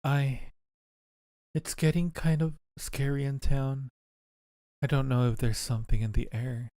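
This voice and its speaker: A man's voice: mid-range voice, dark tone, dry recording, natural voice. Man voicing timid, self-doubting vampire girl Molly. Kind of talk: visual novel inner monologue.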